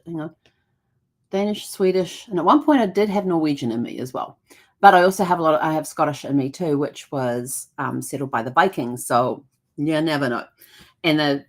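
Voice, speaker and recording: A woman hosting a genealogy show, explaining things casually with frequent ums, restarts and self-corrections. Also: low voice.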